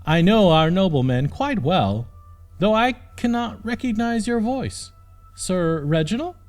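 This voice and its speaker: A man's voice: high voice, animated, measured pace, faint music behind, pitched above the performer's own voice. A man voicing a young princess in an audiobook, haughty and easily annoyed, speaking in prim, formal phrases. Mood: proper, questioning.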